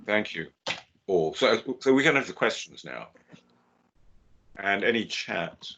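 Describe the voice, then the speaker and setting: A man giving a measured, steady webinar lecture over a video call. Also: fairly low pitch, a little hiss or hum. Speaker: guest lecturer. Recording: dull lo-fi video call audio.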